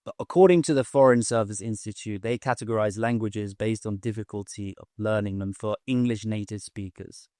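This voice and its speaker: A man hosting an interview, explaining things in a clear, teacherly way. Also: on the low side, fairly quick, few pauses, mellow, no echo, clean studio sound. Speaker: host, English teacher. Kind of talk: casual interview.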